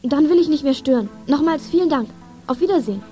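Quick, high-voiced plucky girl detective. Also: expressive, dark tone, soft background music. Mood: polite, earnest. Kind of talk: 1980s German children's radio play acting.

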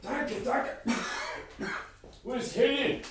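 An actor playing an older learned professor and father in a German radio play, speaking in a precise, deliberate and sometimes hesitant way. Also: very high voice, a little hiss or hum. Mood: alarmed, breathless.

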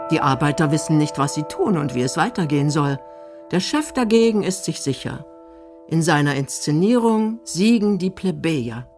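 Radio feature narrator, lightly backed by music. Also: medium pitch. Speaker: documentary narrator.

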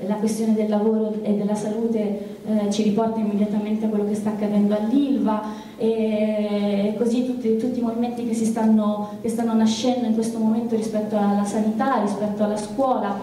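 A woman giving an unscripted political speech as an activist, haltingly repeating words as she searches for phrasing. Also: medium pitch, little pitch movement, dark tone, slight background noise. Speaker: activist speaker at a press conference. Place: large indoor theatre. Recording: very echoey hall, distant microphone.

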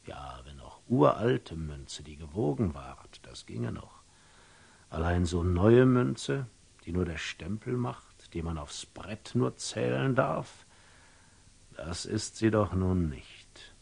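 An actor playing a wise, wary older merchant in a classical German radio drama, speaking verse deliberately and theatrically, often falling to a whisper. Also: male voice, low voice, expressive, a little mellow, full character voice. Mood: wary, pondering. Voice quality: husky, gravelly, often dropping to a whisper. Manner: deliberate, ruminative, theatrical storyteller with dramatic pauses.

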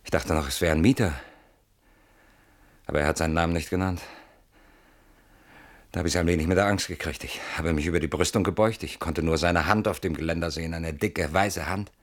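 An actor playing a frightened, suspicious man in a radio play, speaking in a hushed, agitated and insistent way. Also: sits low, steady tone, a little fast, long pauses, natural voice. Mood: anxious, agitated. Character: frightened, suspicious man hiding from a stalker at night. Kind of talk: German radio play acting.

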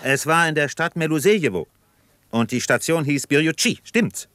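An actor in a 1950s German radio drama playing a calm, questioning doctor from the city. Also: male voice, fairly high pitch, moderate pace, dry recording. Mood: probing. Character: sober, probing Moscow doctor among partisans.

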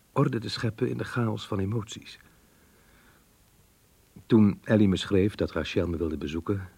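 An actor playing a remorseful middle-aged man reading out his diary confession in a radio play, grave and measured. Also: dark tone, no room sound. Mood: troubled, reflective. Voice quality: low, husky. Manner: grave, measured, literary diction. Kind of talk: Dutch radio play monologue.

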